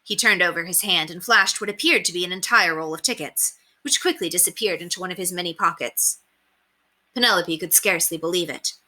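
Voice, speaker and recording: A woman narrating a children's story aloud in an expressive read-aloud style. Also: fairly low pitch, brisk.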